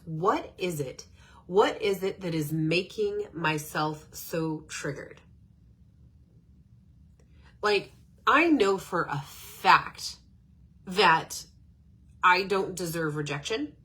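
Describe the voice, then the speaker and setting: Low-voiced nasal woman with a stuffed-up nose. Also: a bit bright. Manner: chatty and rambling, with frequent fillers. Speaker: podcast host. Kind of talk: casual spiritual self-help talk.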